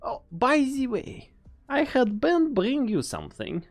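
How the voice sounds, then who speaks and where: A woman's voice: high-pitched, big pitch swings, a little slow, faint music behind, full character voice. A woman playing a sly, taunting, coldly amused scientist in an English fan dub, with a teasing, theatrical delivery.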